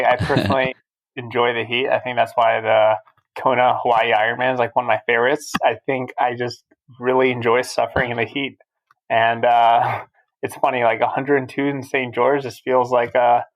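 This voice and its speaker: A man in his thirties from Albania, a guest on a podcast, who talks through his life in a casual, steady way. His pitch is middling and his intonation stays level with little rise and fall. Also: normal speed, rough recording. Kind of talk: casual interview chat.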